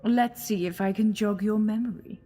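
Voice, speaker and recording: A woman's voice: medium pitch, fairly even intonation, moderate pace, mellow, close to an everyday voice. A woman playing a sinister alien posing as a goddess, coaxing and theatrical, seductive and mocking, in an audio drama. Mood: flirtatious, mocking. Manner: coaxing, theatrical, purring endearments.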